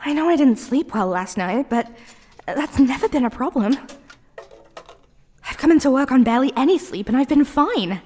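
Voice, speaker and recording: A woman's voice: sits high, lively intonation, normal speed, mellow, slight background noise. A woman playing a clumsy, flustered young barista who stammers and apologizes in an audio roleplay. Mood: frustrated muttering. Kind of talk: ASMR roleplay acting.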